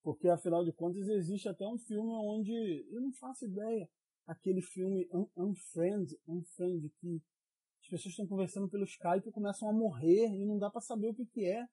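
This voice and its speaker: A man from Rio de Janeiro hosting a casual podcast, teasing his co-hosts with quick joking asides. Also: sits high, warm tone, husky, low-quality recording. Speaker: podcast host.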